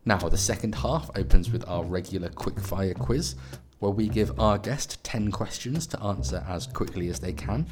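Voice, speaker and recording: A man hosting a podcast, asking calm, brief questions and reading show links. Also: fairly low pitch, moderate pace, hardly any pauses, background noise. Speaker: podcast host. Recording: music bed behind.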